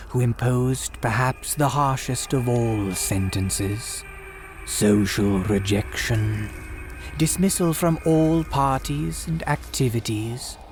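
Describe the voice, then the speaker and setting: A man's voice: fairly low pitch, darkish tone, a little hiss or hum. A man playing a sinister, courteous graveyard priest who hosts in formal, archaic, theatrical diction, in the style of a gothic radio drama. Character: sinister, courteous old-fashioned graveyard priest guiding visitors. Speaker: host.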